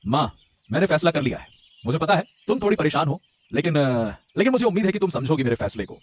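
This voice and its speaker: A man's voice: a little high, very animated, fast, slight background noise. A man voicing an earnest young husband in a Hindi cartoon, reasoning and pleading with hesitant insistence. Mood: pleading, insistent. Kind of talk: Hindi moral-story cartoon voice acting. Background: music.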